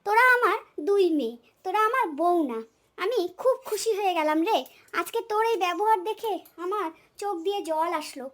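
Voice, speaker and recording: A very high, bright cartoon voice of an older mother-in-law acted by a woman. She is soft-hearted under a scolding habit and speaks with effusive, drawn-out affection. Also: expressive. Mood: tearful, happy. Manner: emotional, effusive, drawn-out endearments. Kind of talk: comic cartoon dialogue.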